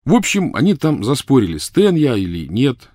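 A man's voice: mid-range voice, wildly swooping pitch, moderate pace, mellow, no echo, studio quality, pitched above the performer's own voice. A man voicing a defensive, talkative teenage boy wizard pleading his case in an audiobook reading.

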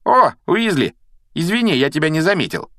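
A man's voice: a little high, sing-song, normal speed, fairly bright tone, no echo, studio quality, pitched above the performer's own voice. A man voicing a spoiled, mocking schoolboy bully who drawls his taunts in a storybook reading.

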